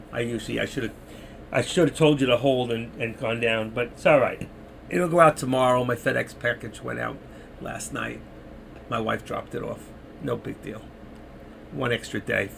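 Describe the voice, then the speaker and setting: A man's voice: mid-range voice, gravelly, a bit bright, slight background noise. A middle-aged man moderating a trading live stream, rambling casually to viewers while losing his voice. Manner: rambling, chatty, talking to chat viewers.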